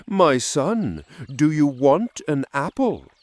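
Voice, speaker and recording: A man's voice: a little high, big pitch swings, takes it slowly, dry recording. A man playing a wicked, scheming stepmother in a fairy tale, commanding and falsely kind. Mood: false kindness.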